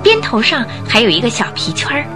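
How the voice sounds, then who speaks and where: A woman's voice: fairly high pitch, a little fast. A woman narrating a radio detective drama, telling the story in a dramatic, suspenseful way. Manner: expressive, suspenseful delivery. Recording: music under the voice.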